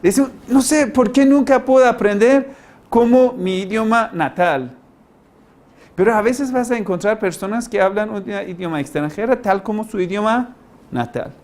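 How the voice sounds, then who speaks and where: Male teacher, foreign-accented non-native speaker, slightly high voice. Informal, didactic lecture delivery, halting, with emphatic key words. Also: darkish tone. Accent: foreign, non-native Spanish. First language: not Spanish. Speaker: language-learning teacher, lecturer.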